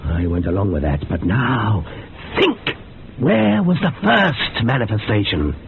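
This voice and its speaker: An actor in a radio horror drama playing a sceptical, hard-headed investigator, brisk and dismissive. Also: male voice, on the low side, sing-song, gravelly, a little hiss or hum, full character voice. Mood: insistent. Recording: narrow phone-like band.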